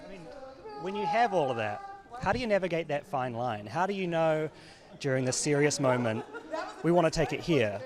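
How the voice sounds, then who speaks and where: A man's voice: on the high side, sing-song, moderate pace. A man working as an interviewer, asking questions in a casual, conversational style. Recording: crowd chatter behind the voice.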